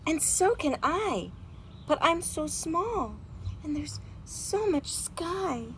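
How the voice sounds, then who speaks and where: A woman plays a little baby robin from a storybook, nervous and excitable. The voice is raised high and swings widely in pitch, with dramatic exclamations. Faint outdoor noise sits behind it. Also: slow pace, heavily acted, poor audio. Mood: nervous, hesitant.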